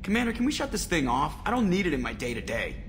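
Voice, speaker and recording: An actor playing a cocky, wisecracking male pilot in a video game, sarcastic and quick. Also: a little high, huge pitch swings, a bit bright, slight background noise, heavily acted. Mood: sardonic.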